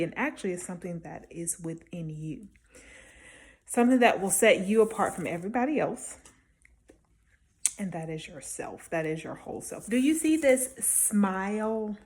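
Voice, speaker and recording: A woman with a slightly low voice gives casual, motivational advice. She speaks in an encouraging, emphatic way, like someone coaching newcomers from her own experience. Her delivery is conversational, with small check-ins to the listener. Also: a little mellow. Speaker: small-business vendor giving advice.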